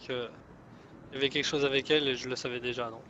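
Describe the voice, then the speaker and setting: A man's voice: medium pitch, steady tone, fast, bright, forceful tone, a little hiss or hum, natural voice. A man playing a cooperative, respectful gang leader in an online roleplay game, talking casually and hesitantly in a conciliatory way. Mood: agreeable. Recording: dull lo-fi game voice chat.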